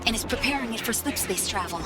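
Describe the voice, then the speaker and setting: A woman's voice: fairly high pitch, animated, quick pace, lightly acted. A woman voicing a curious, politely eccentric machine caretaker in a video game, precise, chatty and whimsical. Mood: curious, helpful. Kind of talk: video game cutscene acting. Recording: distant, under loud music.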